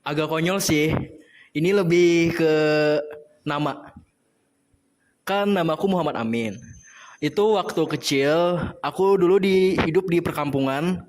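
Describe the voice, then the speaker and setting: A man's voice: a little high, a little slow, pauses often, a little mellow. A 21-year-old man from Indonesia telling a personal story casually in an interview.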